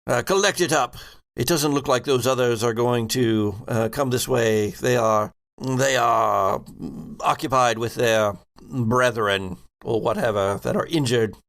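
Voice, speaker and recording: A man voicing a brisk, cold scientist giving clipped, repeated orders in a tabletop game. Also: mid-range voice, fairly bright tone. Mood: pleased, urgent.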